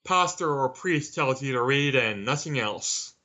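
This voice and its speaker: A man answering as an interview guest, measured and wry in his manner. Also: medium pitch, expressive, bright, forceful tone, lo-fi sound. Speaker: author, podcast guest.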